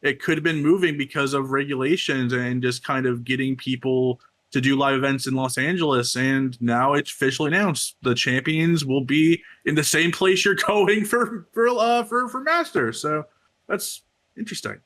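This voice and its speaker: Male co-host and reporter, average pitch, conversational esports show delivery. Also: moderate pace, a bit bright. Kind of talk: casual talk-show conversation.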